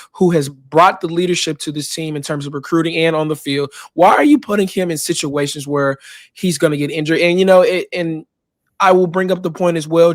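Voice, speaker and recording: A young man with a drawl talks college football, his voice worn hoarse. He holds a narrow, even pitch sitting a bit high for a man, but his words tumble out full of fillers and restarts. The sound is dry and close. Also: no room sound. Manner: rambling, passionate fan with many fillers. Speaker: co-host. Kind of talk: casual sports podcast chat.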